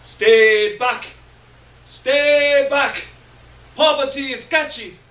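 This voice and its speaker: In a roomy recording, a man acts out a scornful herald of warning in a stage story. The voice is very high and loud, declaiming each phrase with mocking alarm. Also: extremely slow, heavily acted. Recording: roomy, distant stage recording, faint noise.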